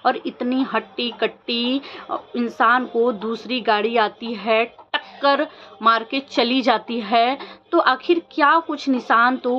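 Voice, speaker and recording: Woman, higher-pitched voice, informal commentary to viewers, insistent questioning, repetitive emphasis, muffled lo-fi recording. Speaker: vlogger. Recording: dull lo-fi audio with faint noise.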